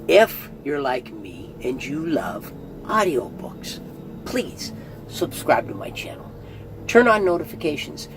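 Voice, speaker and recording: A man's voice: a little high, background noise. A middle-aged man giving a casual, earnest spoken review, chatty and often restarting phrases. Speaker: audiobook reviewer.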